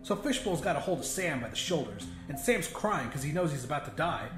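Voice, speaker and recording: A man's voice: a little high, big pitch swings, a little fast. A man narrating a scripted first-person horror comedy in a conversational, sweary storytelling manner. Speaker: audiobook narrator. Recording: roomy echo with music behind. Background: music.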